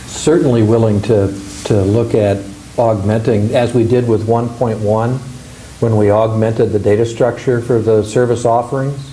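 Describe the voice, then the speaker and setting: A man on a conference panel offering, in a measured way, to work together. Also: on the low side, steady tone, dark tone, a little hiss or hum, rough recording. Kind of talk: conference remarks.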